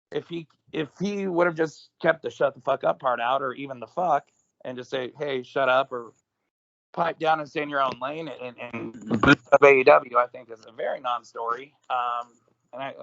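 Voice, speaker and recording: A hoarse, raspy man co-hosts a casual wrestling podcast, rambling with frequent ums and restarts. His voice is gravelly and mid-pitched. The audio is dry and a bit dull. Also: a bit bright, no room sound. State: hoarse from smoking. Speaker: podcast co-host.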